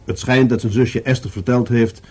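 A man's voice: mid-range voice, steady tone, moderate pace, a little mellow, breathy edge, natural voice. A man acting in a Dutch radio play as an earnest, thoughtful police adjutant, politely and persistently explaining to his superior.

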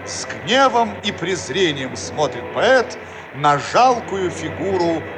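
A man reads scripted narration for a radio play over prominent music. His voice sits somewhat high as he delivers the stage directions. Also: very animated, takes it slowly.

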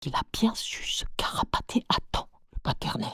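A bright, hissing whisper from inside a girl's head acted by a woman. She is an imaginary friend in an audio drama, snide and conspiratorial, slipping in sardonic asides. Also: moderate pace, close to an everyday voice.

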